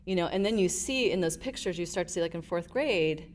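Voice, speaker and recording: Middle-aged woman guest, low voice, animated storyteller. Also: fairly quick. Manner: animated storyteller, acts out quotes. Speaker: guest, leadership advisor. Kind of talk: casual interview storytelling.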